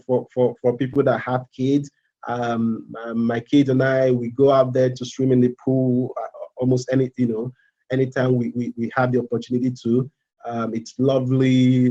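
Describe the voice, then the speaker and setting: A man in his thirties from Nigeria with a Nigerian accent, a student giving a casual live presentation, halting with fillers and repeats. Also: mid-range voice, mellow, highs cut off. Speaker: MBA student.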